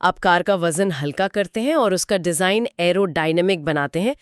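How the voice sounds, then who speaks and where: A woman's voice: on the low side, expressive, normal speed, clear, resonant tone, no room sound, clean studio sound. A woman co-hosting a conversational explainer, speaking inquisitively and mixing English terms into her speech. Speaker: co-host of a financial explainer discussion.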